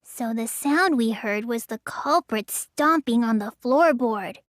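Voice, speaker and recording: A woman's voice: fairly high pitch, measured pace, no room sound, clean studio sound, natural voice. A woman voicing a petite, stubborn teenage girl magician in an English anime game, with a languid, childlike, slightly pouty delivery. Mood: puzzled, thoughtful.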